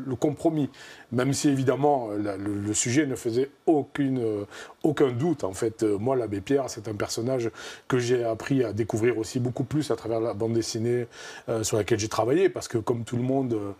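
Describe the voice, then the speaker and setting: A man's voice: mid-range voice, a little fast, dark tone, slightly rough. A man in his fifties with a southwestern French accent, a guest in a TV studio interview, talking thoughtfully with lots of fillers. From southern France. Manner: thoughtful, gestures while talking, many fillers. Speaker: comic artist guest. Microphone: lapel.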